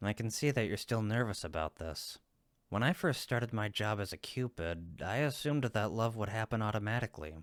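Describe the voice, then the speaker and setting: Man acting as low-voiced, supportive cupid roommate. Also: lively intonation, moderate pace, no room sound, studio quality, natural voice. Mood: reassuring. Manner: playful, reassuring, emphatic. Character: friendly male cupid roommate, teasing, supportive best friend. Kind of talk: roleplay audio comfort acting, one-sided conversation.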